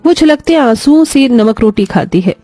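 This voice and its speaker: A woman's voice: on the high side, expressive, moderate pace, warm tone, dry recording. A woman narrating a scripted moral story as a storyteller. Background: faint music.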